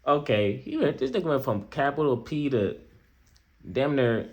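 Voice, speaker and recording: A man's voice: mid-range voice, very animated, normal speed, muffled recording. An American man giving off-the-cuff reaction commentary in a casual, slangy way, often repeating himself and checking in with the listener. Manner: slangy, repetitive, conversational asides to viewer. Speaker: reaction video host.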